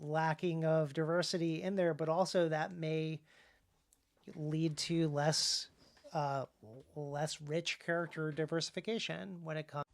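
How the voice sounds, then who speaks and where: American man talking through ideas haltingly. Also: fairly high pitch, level tone, gravelly. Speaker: podcast host and author. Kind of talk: casual conversational discussion.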